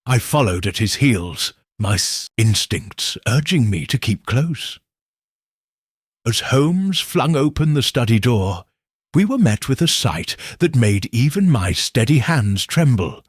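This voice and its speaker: A man narrating a detective story in the first person with slow, expressive delivery. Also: medium pitch, husky, no echo, studio quality. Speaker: audiobook narrator.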